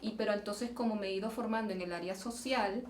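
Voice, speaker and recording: A woman's voice: medium pitch, quick pace. A Venezuelan woman, a geological engineer, answering interview questions in a thoughtful way, often restarting and repeating words. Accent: Venezuelan Spanish. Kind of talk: conversational interview. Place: event venue, indoors. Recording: roomy, distant mic, faint noise.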